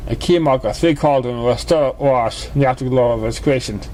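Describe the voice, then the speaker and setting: Halting male story reader with background noise. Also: mid-range voice, a little mellow. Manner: halting reading, stumbles over words. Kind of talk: scripted fan fiction narration.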